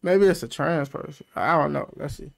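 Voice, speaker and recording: A man's voice: on the high side, moderate pace, creaky, a little hiss or hum. A man in his thirties doing casual reaction commentary, loose and slangy with laughing, swearing asides, on a headset mic in a small room. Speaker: reaction streamer.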